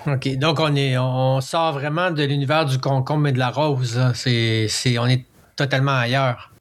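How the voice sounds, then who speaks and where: A man hosting a casual interview, speaking informally and haltingly as he rephrases his questions. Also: medium pitch, steady tone. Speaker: interviewer, host.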